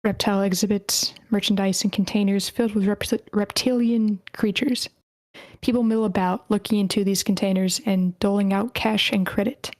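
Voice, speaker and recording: A woman narrating stage directions at a screenplay table read in a measured way, with the odd stumble over a word. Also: medium pitch, steady tone, darkish tone, dry recording. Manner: measured, slightly stumbling reading. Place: online meeting.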